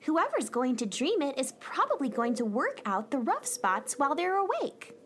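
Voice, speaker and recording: A woman's voice: high-pitched, wildly swooping pitch, moderate pace, fairly bright tone, exaggerated voice. A woman playing an eager, earnest young space cowgirl in a children's radio play. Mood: determined.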